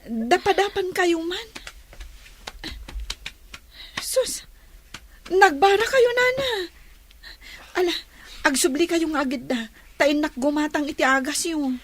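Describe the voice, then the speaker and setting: High-pitched, earnest young woman acted by a woman. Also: slow, long pauses, a little hiss or hum. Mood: concerned. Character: devoted, caring young woman in love. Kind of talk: radio drama acting.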